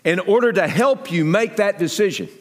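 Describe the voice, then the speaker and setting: A male pastor addressing his congregation in deliberate, emphatic phrases with pauses, his voice echoing in a large hall. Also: high-pitched, lively intonation. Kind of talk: sermon appeal and guest interview before a congregation. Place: church sanctuary, amplified to a congregation. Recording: echoey large hall reverb.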